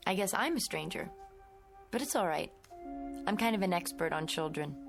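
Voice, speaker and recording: An actress playing a kind, slightly uneasy schoolteacher in her twenties in a radio drama, talking in a gentle, coaxing way. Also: fairly low pitch, lively intonation, normal speed, creaky. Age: young adult. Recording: radio drama with prominent music underneath.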